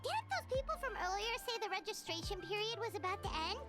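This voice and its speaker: A tiny floating companion girl, squeaky, extremely high, chatty, loud game music. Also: sing-song, fast talker. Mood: curious. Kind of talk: game cutscene voice acting. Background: loud game soundtrack.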